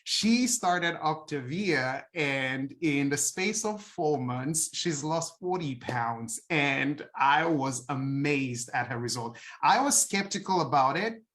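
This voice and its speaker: A man's voice: fairly high pitch, lively intonation, takes it slowly, hardly any pauses, a bit bright. A man with a Nigerian accent giving an earnest personal testimony as a guest speaker, with frequent fillers and restarts.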